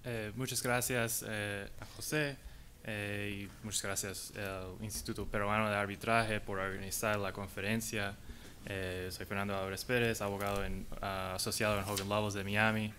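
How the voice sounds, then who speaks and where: A man, an English speaker, giving a conference talk in halting, hesitant Spanish. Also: fairly low pitch, level tone, pushed and bright, slight background noise. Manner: halting, hesitant delivery in non-native Spanish. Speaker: conference panelist.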